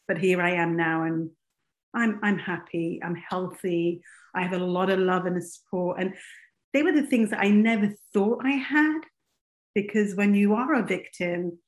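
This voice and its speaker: A middle-aged woman shares her story openly and sincerely. Her voice sits at an ordinary pitch. The sound carries a noticeable room echo. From raised in England. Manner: earnest and open, speaks frankly. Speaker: life coach and podcaster, guest. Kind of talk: interview storytelling. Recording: echoey room.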